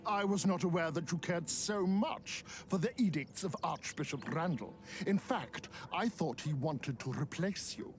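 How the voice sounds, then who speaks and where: A man's voice: a little high, lively intonation, measured pace, hardly any pauses, slight background noise. A man playing a sly, mock-polite old necromancer in a video game cutscene, speaking in a theatrical, insinuating way. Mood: persuasive, scheming. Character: sly old necromancer, smooth-talking, mockingly gleeful schemer. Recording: music behind the voice.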